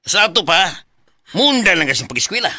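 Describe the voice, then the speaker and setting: A man's voice: high-pitched, big pitch swings, a little fast, no room sound, exaggerated voice. An actor playing a stern, sarcastic middle-aged father in a Bisaya radio drama, scolding and threatening. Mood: outraged. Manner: sharp, scolding.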